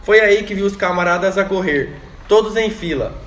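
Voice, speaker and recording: A man's voice: high-pitched, moderate pace, a bit bright. A man playing an exhausted, alarmed guerrilla fighter reporting in short phrases in an amateur radio drama. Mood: shaken, reporting. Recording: echoey room with faint noise.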